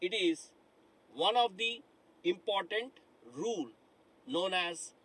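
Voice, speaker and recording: Middle aged guy teaching online class, lapel mic, keeps saying my dear friends, bright edgy tone. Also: high voice, slow pace, husky, low-quality recording. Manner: repeats phrases, asks the class questions, calls students "my dear friends". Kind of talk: live online lecture. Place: studio in front of a dark digital board.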